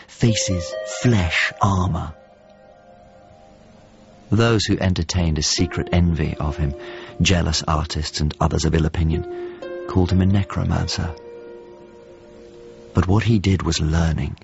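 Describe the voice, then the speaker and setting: An actor playing a painter who wistfully recalls his lost master in a radio drama, speaking in intimate, measured, halting phrases. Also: deep voice, creaky, highs cut off, full character voice. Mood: reverent, longing. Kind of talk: radio drama monologue and dialogue.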